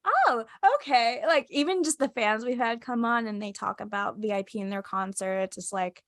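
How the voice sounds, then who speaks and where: A woman's voice: a little high, expressive, moderate pace, a bit bright, dry recording, clean studio sound. A young woman co-hosting a casual fan podcast, stuffy from a cold, giggly and chatty. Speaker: podcast co-host.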